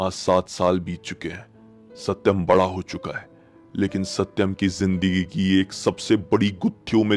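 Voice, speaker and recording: A man narrating a horror story in a conversational, suspenseful way, repeating phrases for emphasis. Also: deep voice. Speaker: horror storyteller, channel host. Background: music.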